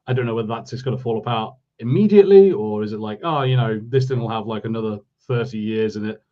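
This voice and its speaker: A man's voice: on the low side, quick pace, mellow. Man chatting casually, slightly muffled sound. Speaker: podcast co-host. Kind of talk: casual review chat.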